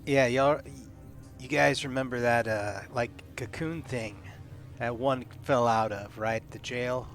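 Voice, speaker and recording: A man's voice: mid-range voice, a little slow. A man chatting casually as a player in a tabletop roleplaying game. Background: music.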